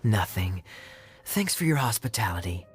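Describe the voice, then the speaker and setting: A man's voice: fairly low pitch, very animated, moderate pace. A suave young drinking buddy in a video game. He teases slyly and theatrically, his melody sliding up and down with drawling emphasis. Music sits behind the voice. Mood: playfully sly. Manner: sly, drawling, theatrical teasing. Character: suave, teasing young male drinking buddy, sly and playful.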